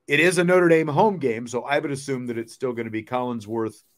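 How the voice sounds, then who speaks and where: A man's voice: medium pitch, brisk. A man hosting a sports podcast, chatting casually and thinking aloud as he answers viewer comments.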